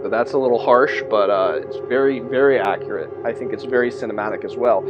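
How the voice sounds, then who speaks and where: Male host, mid-pitched, explaining tabletop rules with informal asides, music underneath. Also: fairly quick, dull audio. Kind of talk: rules explainer, part read aloud, part informal commentary.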